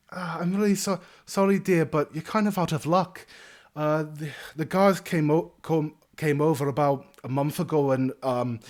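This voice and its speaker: A man's voice: medium pitch, darkish tone, close to an everyday voice. A man voicing a flustered, motherly halfling innkeeper woman who fusses over guests and calls them dearie. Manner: fussy, apologetic, calls everyone dearie. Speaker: game master.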